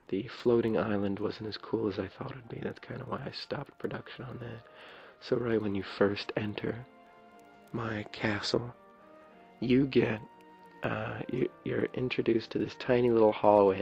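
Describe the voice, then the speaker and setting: A man with a low, crackly, raspy voice records ASMR game commentary. He is soft-spoken and meanders hesitantly as he shows things off. The sound is muffled and lo-fi, with game music clearly playing behind him. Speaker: video creator showing his game builds. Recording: dull lo-fi mic, clear background music.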